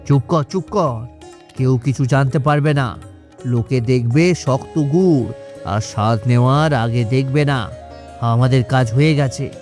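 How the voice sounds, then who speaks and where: A man's voice: mid-range voice, a little slow, darkish tone, soft background music, close to an everyday voice. A man voicing a sly, boastful swindling salesman in a children's cartoon, brash and wheedling. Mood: bossy. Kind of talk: cartoon story voice acting.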